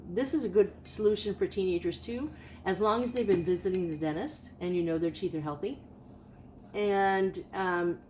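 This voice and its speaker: A woman's voice: on the low side, darkish tone, a little hiss or hum. A woman in her late fifties telling her own story in a chatty, rambling vlog, often restarting her sentences. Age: 50s. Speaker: vlogger. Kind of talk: casual personal testimonial.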